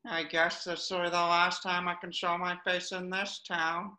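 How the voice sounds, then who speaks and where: A man's voice: sits high, flat delivery, normal speed, bright, forceful tone, echoey room. A man voicing a gloomy, exasperated squid band leader in a cartoon table read, whiny and melodramatic. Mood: resigned.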